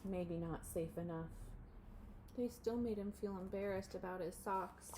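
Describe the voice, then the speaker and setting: A woman reading a storybook aloud to children expressively, with animated asides to her listeners. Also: medium pitch, a little slow, a little hiss or hum, rough recording. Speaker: storybook reader.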